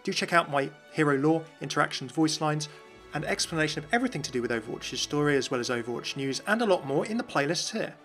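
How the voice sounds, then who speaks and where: A man's voice: on the high side, expressive, fast talker, fairly bright tone, soft background music. A man hosting a game lore video, talking through voice lines in a chatty, enthusiastic voice-over. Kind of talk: scripted commentary voice-over.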